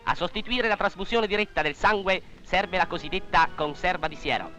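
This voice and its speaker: A man's voice: high voice, a little fast, bright, forceful tone, soft background music. A man reads scripted 1940s wartime newsreel narration in a formal, declamatory manner, on an old film soundtrack.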